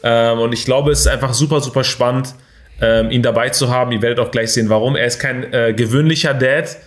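A man's voice: medium pitch, a little fast. Upbeat young male podcast host. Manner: energetic, filler-heavy, sprinkled with English buzzwords. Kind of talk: casual motivational talk.

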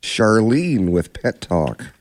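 A man's voice: fairly low pitch, sing-song, slow pace, no echo. A man co-hosting a radio show in casual conversation, speaking into a studio microphone. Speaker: radio co-host.

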